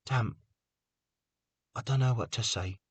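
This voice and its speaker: A man voicing a soft-hearted young blacksmith's apprentice, speaking subdued and sympathetic. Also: mid-range voice, takes it slowly, slightly rough, no echo, pitched above the performer's own voice.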